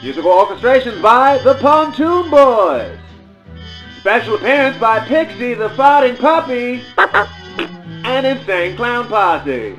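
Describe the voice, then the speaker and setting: Man doing a high-pitched, showy radio announcer. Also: big pitch swings, unhurried, fairly bright tone, lo-fi sound, faint music behind, heavily acted. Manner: theatrical, presentational. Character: old-time radio play announcer, male, showy. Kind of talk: scripted radio announcing and narration.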